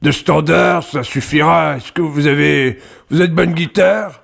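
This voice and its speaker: A man's voice: fairly high pitch, a little slow, creaky, a bit bright, exaggerated voice. A man playing an earnest, rambling construction worker who wants to start a rock band, in a prank phone call. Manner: rambling, hesitant, pestering. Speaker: prank caller.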